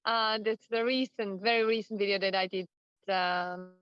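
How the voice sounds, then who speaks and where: A woman from Serbia with a Serbian accent, a native Serbian speaker, talking casually and haltingly as an interview guest. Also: medium pitch, fairly even intonation, clean tone, no room sound. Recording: slightly dull, lo-fi sound.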